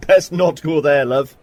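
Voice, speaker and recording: A man's voice: a little high, takes it slowly. An actor playing a wisecracking man from a Welsh football background in an audio drama, dry, jokey and colloquial. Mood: cheeky. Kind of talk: full-cast sci-fi audio drama.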